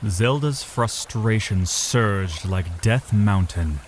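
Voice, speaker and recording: A man's voice: lowish voice, slow pace, slight background noise. A man narrating a scripted fantasy audio drama as its storyteller.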